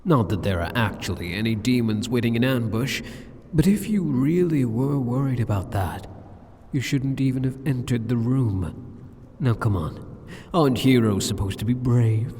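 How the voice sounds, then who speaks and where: A man's voice: mid-range voice, expressive, moderate pace, darkish tone, husky. A man voicing an arrogant, sadistic demon king in an ASMR roleplay, with a mocking, condescending and teasing manner. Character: sadistic, arrogant male demon king taunting a captive hero. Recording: long cavernous hall reverb.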